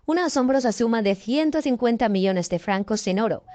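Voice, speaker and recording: A woman reading a scripted news documentary narration in a clear, measured way. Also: a little high, animated, dark tone.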